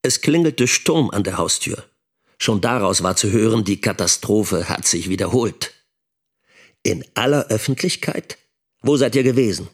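A man playing a sharp, indignant middle-aged father and teacher narrating in a radio drama. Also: fairly low pitch, expressive, a little slow, breathy edge. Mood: alarmed.

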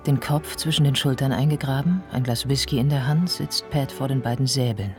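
A sober, observing narrator played by a man tells a radio play in measured, literary phrases. His voice is mid-pitched and matter-of-fact, with music clearly playing underneath. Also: natural voice. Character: sober male narrator observing an ageing writer's life. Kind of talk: scripted radio drama narration.

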